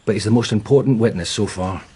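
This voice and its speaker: Husky, weary police detective. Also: male voice, lowish voice, fast talker, dark tone. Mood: dry, reporting. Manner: matter-of-fact, resigned. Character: weary, dry provincial Swedish police detective, male. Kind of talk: radio drama acting.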